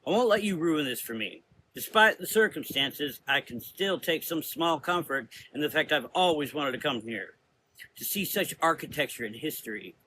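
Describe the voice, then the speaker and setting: A man's voice: on the high side, lively intonation, normal speed, sharp tone, a little hiss or hum, voice pushed up, low-quality recording. A man voicing a feisty, sarcastic, outspoken woman traveler in a gothic audio drama. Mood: scornful.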